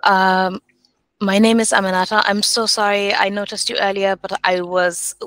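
A woman's voice: medium pitch, flat delivery, normal speed, a bit bright, clear, resonant tone, no echo. A woman chatting casually in character as a player in a tabletop roleplaying game on a video call.

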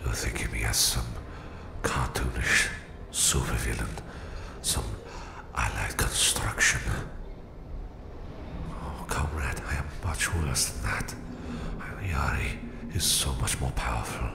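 Menacing russian supervillain for asmr roleplay, super deep gravelly half-whisper, cold and bossy, bit of echo. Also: male voice, steady tone, noisy background, heavily acted. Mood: sinister, then smug, persuasive. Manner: slow, deliberate, menacing, condescending. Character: menacing Soviet psychic supervillain, male, cold and domineering.

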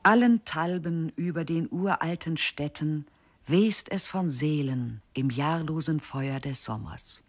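Radio poetry voice: male, reverent and hymnic, long drawn-out phrases at slow pace. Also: fairly high pitch. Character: solemn reciter of hymnic river poetry.

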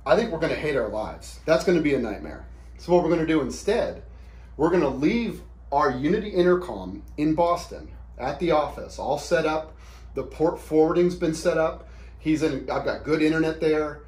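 A man's voice: fairly high pitch, lively intonation, darkish tone. A man in his thirties giving an informal whiteboard explainer in a casual, plain-spoken, conversational way. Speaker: product demonstrator. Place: small indoor office room. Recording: roomy, echoey quick camera shoot.

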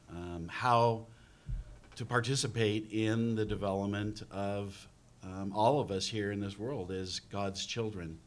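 A middle-aged man speaking as a panelist in a university lecture room, earnest and thinking aloud, into a table microphone. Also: fairly low pitch. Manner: earnest, repeats words while thinking aloud. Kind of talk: panel discussion. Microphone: table mic on stand.

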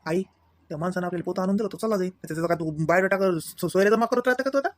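A man's voice: high voice, rapid-fire, dry recording, close to an everyday voice. A man playing a stingy grown son in a village comedy cartoon, complaining and sarcastic, with a Varhadi Marathi accent. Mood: annoyed, sarcastic.

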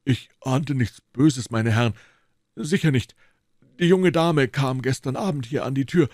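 A man's voice: mid-range voice, a little slow, a little mellow, no room sound, clean studio sound, voice pushed up, lightly acted. A man voicing a stout, flustered police constable in an audiobook, pleading and eagerly excusing himself. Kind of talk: audiobook character voice.

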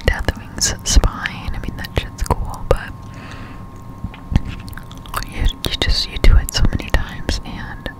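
A young woman whispering casual ASMR game commentary in a breathy voice, close to the microphone. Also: poor audio. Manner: casual, slangy, unhurried chat. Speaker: gaming ASMR streamer. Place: indoor room at home. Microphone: close-up Blue Yeti microphone.

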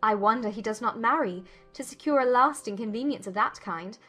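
Spirited young Regency gentlewoman, higher-pitched. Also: fairly quick. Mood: lively, arch. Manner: arch, playful, formal phrasing. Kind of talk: dramatized audiobook acting. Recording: music behind the voice.

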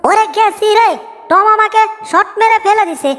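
A woman acts a tiny feisty cartoon mouse, extremely high and sharp. The little fellow is pert, teasing and quick to complain. The voice rings with long reverb over music. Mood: indignant. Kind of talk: Bengali comic cartoon dub.

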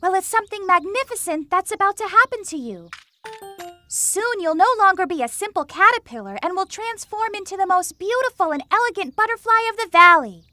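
A woman's voice: very high-pitched, lively intonation, brisk, sharp tone, soft background music, full character voice. A woman voicing a knowing animal friend in a children's cartoon, explaining with grand enthusiasm. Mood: enthusiastic.

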